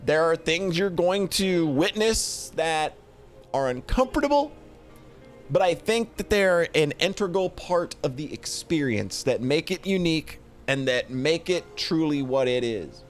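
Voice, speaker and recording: A man giving casual, rambling livestream commentary, thinking aloud in an informal, halting way. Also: a little high, expressive, faint music behind. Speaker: streamer hosting a tier list of games.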